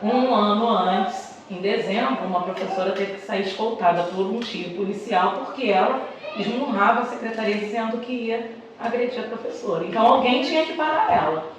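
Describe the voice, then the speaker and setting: A woman's voice: medium pitch, normal speed. Echoey, distant Brazilian woman telling personal stories. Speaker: schoolteacher, podcast guest. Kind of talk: conversational interview, personal storytelling. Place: echoey indoor room, far from the mic. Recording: echoey room, distant mic, noisy background.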